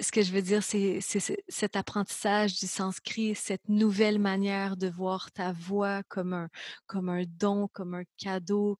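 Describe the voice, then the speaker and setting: A woman's voice: mid-range voice, a little mellow, dry recording, studio quality. Effusive Quebecois woman podcast host. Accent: Quebec French. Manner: effusive, rambling, encouraging. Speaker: podcast host interviewing a guest. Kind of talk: casual interview chat.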